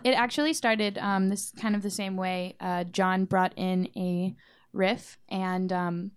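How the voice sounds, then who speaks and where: Girl in a band talking casually, smooth clear voice, medium pitch. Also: fairly even intonation, a little slow. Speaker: band member being interviewed. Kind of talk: casual chat.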